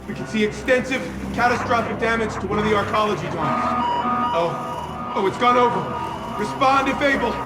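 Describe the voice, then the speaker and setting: A man's voice: high-pitched, moderate pace, heavy background noise. An actor playing a panicked male ship captain sending an urgent distress call over the radio. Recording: echoey radio call with loud music.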